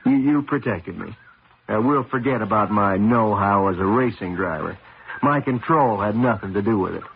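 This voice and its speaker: A man's voice: on the low side, normal speed, vocal fry. An actor playing a cocky but good-natured young race driver, husband and father, in a radio drama. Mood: wry, exasperated. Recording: noisy, tinny old radio broadcast.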